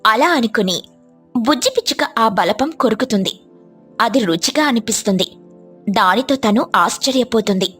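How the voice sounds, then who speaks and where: Casting: female narrator for kids' tales, high voice, scripted storytelling over music. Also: moderate pace, long pauses, a bit bright, husky.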